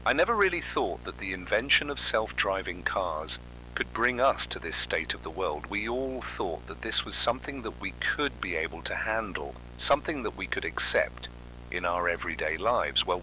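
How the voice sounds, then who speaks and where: A man's voice: deep voice, lively intonation, normal speed, bright, forceful tone, a little hiss or hum, rough recording. A man playing a weary, fearful witness in a resigned, hesitant analog horror monologue. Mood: fearful resignation. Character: weary, fearful witness lamenting a world gone wrong.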